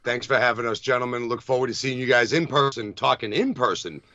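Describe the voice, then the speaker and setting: A man's voice: mid-range voice, a little fast, noisy background. A man with a Boston accent bantering casually and plugging his socials as a podcast guest. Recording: slightly dull, lo-fi audio.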